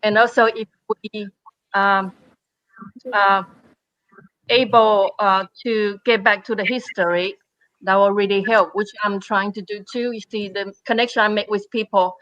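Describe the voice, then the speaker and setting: A woman from Taiwan, a painter speaking on a panel in halting English with many fillers and restarts. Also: medium pitch, fairly even intonation, a bit bright, dry recording, lo-fi sound. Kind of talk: panel discussion.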